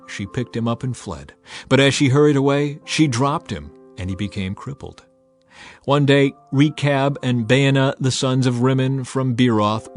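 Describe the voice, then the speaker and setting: A man narrating scripture as a dramatized audiobook, in a solemn, emphatic, clearly enunciated storytelling manner. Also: mid-range voice. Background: background music.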